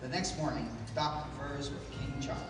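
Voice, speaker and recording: A man's voice: fairly high pitch, steady tone, normal speed, recorded from a distance, close to an everyday voice. Male old-time radio narrator, dramatic storytelling, reverberant hall with music underneath.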